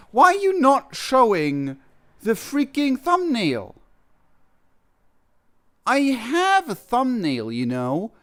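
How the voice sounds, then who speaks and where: A man's voice: high voice, clean tone. A man giving casual live reaction commentary on a game, rambling and often rewording himself. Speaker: streamer reacting to game cutscenes.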